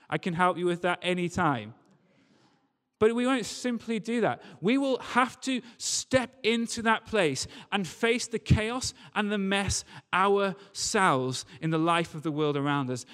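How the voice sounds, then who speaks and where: A man's voice: high-pitched, fairly bright tone. A man preaching a sermon to a congregation in an emphatic manner, using rhetorical questions and repetition. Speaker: preacher, church leader. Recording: reverberant hall sound.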